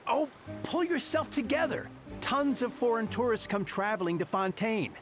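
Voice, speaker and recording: A man's voice: extremely high pitch, animated, moderate pace, soft background music. A man playing a bossy, brash smuggler henchman in a video game. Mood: bossy, scornful. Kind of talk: video game character acting.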